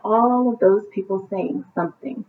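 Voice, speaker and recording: A woman's voice: medium pitch, normal speed, a little hiss or hum. A woman reading a picture book aloud to children like a teacher, with encouraging asides that explain things simply. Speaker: teacher reading a bedtime story. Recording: thin, phone-like narrowband sound.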